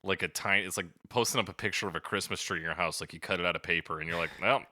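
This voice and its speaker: A man's voice: low voice, very fast, bright, forceful tone, no echo, studio quality. A man co-hosting a casual music review podcast, talking bluntly with swearing, joking and mimicked sounds. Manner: blunt, profane, jokey. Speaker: podcast co-host.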